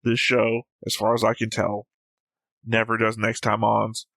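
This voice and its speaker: A man's voice: fairly low pitch, completely flat delivery, unhurried, creaky, fairly bright tone, no room sound. A man hosting a reaction show, explaining at length in a casual, musing way.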